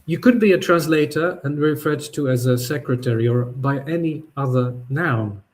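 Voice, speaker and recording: Man, native Polish speaker, mid-pitched, halting, reflective essay talk. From Poland. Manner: thoughtful, halting, with frequent restarts and fillers. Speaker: podcast host.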